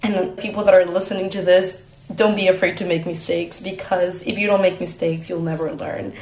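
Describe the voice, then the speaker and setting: A woman's voice: lowish voice, level tone, brisk, darkish tone, a little hiss or hum. Woman, first language Spanish, reflective interview guest, roomy echoey sound. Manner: reflective, laughs easily. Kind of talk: casual interview answers. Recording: roomy echo.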